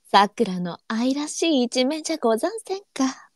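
A woman playing a gentle, teasing senior courtesan, an indulgent big sister with elegant old brothel speech. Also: high-pitched, big pitch swings, slow pace, no room sound, clean studio sound, heavily acted. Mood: teasing, kind.

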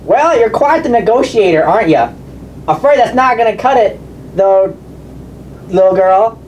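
A friendly male mechanic in a children's puppet show, acted by a woman. The voice is fairly high, folksy and easygoing. It sits a little away from the mic, with background noise. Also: expressive, moderate pace, a little mellow, recorded from a distance, natural voice, low-quality recording.

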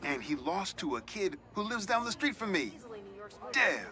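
A man's voice: sits high, sing-song, normal speed, over music. An actor playing a genial middle-aged New York newspaperman with an American accent, telling nostalgic, reflective stories in a video game. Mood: chatty. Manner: storytelling, reflective. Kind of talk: game voice acting, conversational monologue.

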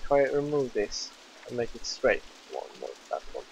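Excitable Swedish-accented young man. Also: mid-range voice, normal speed, gravelly, slight background noise, rough recording. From Sweden. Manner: chatty, excitable, swears freely. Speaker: let's play gamer. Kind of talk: casual gameplay commentary.

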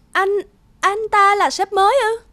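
An actress voicing a plucky, easily flustered young office worker in a cartoon, expressive and girlish. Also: extremely high pitch, slow pace, full character voice. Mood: indignant, startled. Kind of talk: animated cartoon dialogue. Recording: music under the voice.

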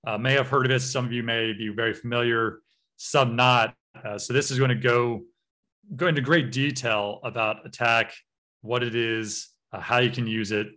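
American man giving a relaxed training talk. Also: mid-range voice. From United States. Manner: relaxed, frequent uh and um fillers. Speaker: cybersecurity trainer. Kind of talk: online workshop lecture over slides.

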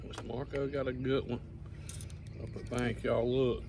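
A man's voice: mid-range voice, flat delivery, moderate pace, creaky. A middle-aged man, chatting folksy to viewers while fishing from a boat on a lake. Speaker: fishing channel host. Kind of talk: casual fishing vlog talk. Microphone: camera on the boat, phone selfie. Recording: loud outdoor noise behind.

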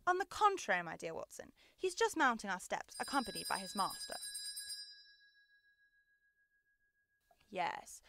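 A woman's voice: mid-range voice, very animated, a little fast, background noise. A young woman playing a brilliant, self-assured male Victorian detective in a theatrical, precise radio drama style. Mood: keen, expectant. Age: young adult. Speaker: student actor.

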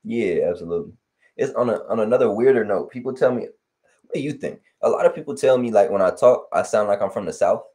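Young man from LA, very low and mellow voice, loose rambling chat over a headset call. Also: fast talker. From Los Angeles. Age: young adult. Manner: relaxed, rambling with many fillers. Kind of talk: casual chat. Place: home room on video call. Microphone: headset on video call.

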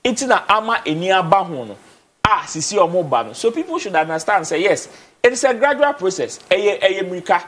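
A man's voice: sits high, very animated, a little fast, a bit bright. A young Ghanaian man with a Ghanaian accent, talking as a guest in a TV studio, switching between Twi and English and repeating words as he drives his points home. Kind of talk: casual TV studio discussion. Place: TV studio, indoors.

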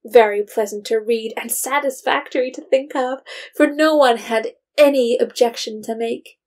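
A woman's voice: fairly high pitch, moderate pace, darkish tone, close to an everyday voice. A woman narrator reading a scripted period story as storytelling narration.

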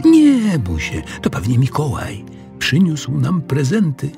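A man voicing a curious, excited little girl in a children's storybook, eager and questioning. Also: fairly high pitch, slow pace, creaky, soft background music, pitched above the performer's own voice, exaggerated voice.

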